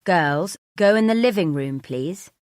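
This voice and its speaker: A woman's voice: lowish voice, lively intonation, a little slow, a little mellow, smooth, dry recording. A female narrator acts out an exasperated husband from an audiobook story. He is stern and confronting, speaking in firm, clipped phrases as he holds his anger back. The sound is very dry.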